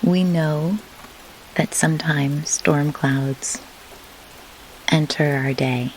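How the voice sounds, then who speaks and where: A woman leading a guided meditation in measured, deliberate phrases with pauses, as a host who works as a grief doula. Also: deep voice, poor audio. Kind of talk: slow guided meditation instruction. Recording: clear pink-noise rain hiss behind. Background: pink noise like steady rain.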